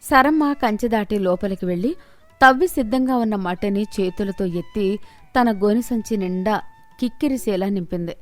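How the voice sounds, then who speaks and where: A woman's voice: medium pitch, moderate pace. A woman delivering scripted narration of a moral story, with music behind her.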